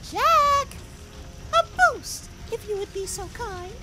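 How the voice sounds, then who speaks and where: A man voicing a small, bossy villainous rabbit in a squeaky, theatrical voice for an audio drama. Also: animated, slow, over loud music, full character voice. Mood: imperious. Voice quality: squeaky, shrill. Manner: theatrical, exclamatory.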